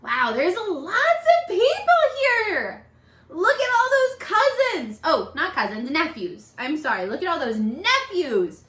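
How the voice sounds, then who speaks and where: A woman reading a picture book aloud like a teacher, expressive and encouraging, putting questions to her young listeners. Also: very high voice, slow pace. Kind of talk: animated children's read-aloud with questions to listeners. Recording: echoey, roomy sound.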